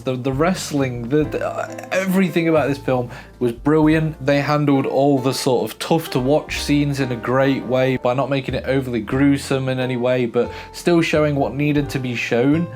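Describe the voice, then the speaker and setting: Expressive man reviewing a film. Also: mid-range voice. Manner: emphatic, expressive, with frequent restarts. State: recovering from a sore throat. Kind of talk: casual film review monologue.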